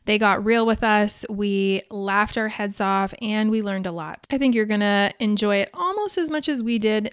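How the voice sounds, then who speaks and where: A woman in her forties co-hosting a podcast, upbeat and quick to laugh, going from a scripted intro to casual chat with guests. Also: medium pitch, smooth. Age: 40s. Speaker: podcast co-host.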